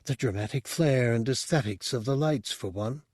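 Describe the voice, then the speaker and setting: A man's voice: mid-range voice, moderate pace, darkish tone, slightly rough, no echo, studio quality, close to an everyday voice. A man voicing a small, sly but earnest reptilian alien in an audiobook story. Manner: earnest, respectful pupil.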